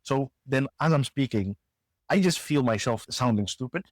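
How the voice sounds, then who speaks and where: A male podcast host talks in an easygoing, conversational way. He nods along with quick affirmations and casual fillers. His medium-pitched voice is recorded close and dry. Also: no room sound. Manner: relaxed, agreeable, many fillers and short affirmations. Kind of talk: casual interview chat.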